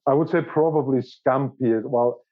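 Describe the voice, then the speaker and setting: A man from Iceland with an Icelandic accent, answering casually as an interview guest in a halting way, repeating words as he searches for phrasing. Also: mid-range voice, steady tone. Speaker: interview guest, company operations director. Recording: thin phone-like call audio.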